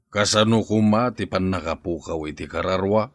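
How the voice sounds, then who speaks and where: A man's voice: sits low, moderate pace, no room sound, close to an everyday voice. A man playing a wealthy, brooding older boss in an Ilocano radio drama. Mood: defensive.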